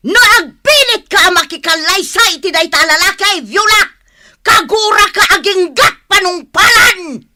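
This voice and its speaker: Woman acting a shrill, furious Ilocano mother. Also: expressive, measured pace, bright, forceful tone, no room sound, studio quality, exaggerated voice. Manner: scolding, indignant, exclaiming. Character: angry, stubborn village mother opposing her son's marriage. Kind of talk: comedic Ilocano radio drama acting.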